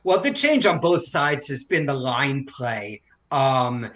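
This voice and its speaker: A man's voice: on the high side, a bit bright. A man giving conversational sports analysis as an interview guest, a broadcaster who makes his points emphatically in runs. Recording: slightly dull, lo-fi audio.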